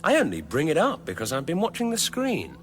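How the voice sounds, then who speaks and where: Breezy space traveller, very animated. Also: male voice, fairly high pitch, brisk, faint music behind. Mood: offhand. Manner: offhand, casual, correcting. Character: breezy, pedantic, unflappable alien travel companion. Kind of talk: radio comedy drama acting.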